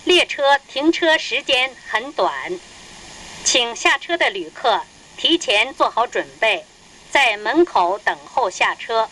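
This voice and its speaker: A woman delivering a formal, deliberate, scripted station announcement as a train announcer. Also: fairly high pitch, lively intonation, bright, forceful tone, poor audio. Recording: train speakers with faint noise. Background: train cabin hum.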